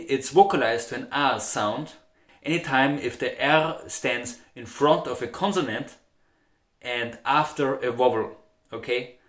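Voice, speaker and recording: A man's voice: medium pitch, fairly bright tone. Austrian man teaching pronunciation, roomy sound. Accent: Austrian German. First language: German. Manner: patient, demonstrative, repeats sounds and checks with "okay?". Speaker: dialect teacher. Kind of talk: pronunciation lesson.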